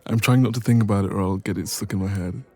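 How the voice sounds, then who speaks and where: A man's voice: fairly low pitch, fast, husky. A man playing a playful, teasing young best friend in a romantic roleplay audio, chatting casually and intimately. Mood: warm, chatty. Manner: playful, teasing, expressive, soft laughs.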